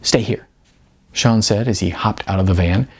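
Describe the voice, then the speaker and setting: A man's voice: low-pitched, brisk, a little mellow. A man reading his novel aloud as scripted audiobook narration. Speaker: author reading own novel.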